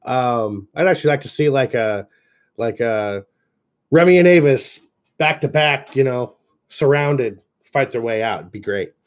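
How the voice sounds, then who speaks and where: A man's voice: mid-range voice, animated, measured pace. A man reading a story draft aloud and giving enthusiastic, chatty feedback, full of fillers. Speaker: editor reviewing a story draft. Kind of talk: casual chat while reading aloud.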